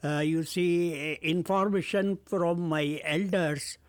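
A man in his seventies with a Pakistani accent, a native Urdu speaker, answering his son's interview questions in a halting, formal way full of fillers. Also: on the high side, fairly even intonation, no echo. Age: 70s. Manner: hesitant, halting, many fillers, formal phrasing. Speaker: interview guest. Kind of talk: family interview.

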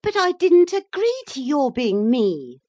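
A woman's voice: high voice, animated, measured pace, voice pushed up. A woman voicing a stubborn, indignant young boy turned into a cat in a children's story, plucky and protesting. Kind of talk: children's storybook character reading.

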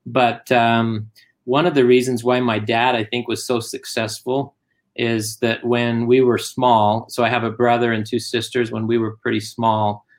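A man from Germany raised in Utah, a guest in a casual interview, telling family stories plainly with frequent pauses. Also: mid-range voice, little pitch movement. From born in Germany, raised in Utah.

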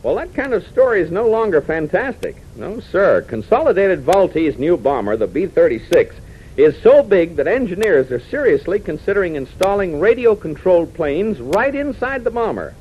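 An American man announcing a 1940s radio adventure serial for young listeners, excitable and dramatic. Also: sits high, normal speed. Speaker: announcer and narrator. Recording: muffled, noisy 1940s radio recording.

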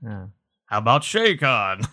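A man's voice: mid-range voice, sing-song, a little slow, sharp tone, faint music behind. A man chatting casually as a player in a tabletop role-playing game.

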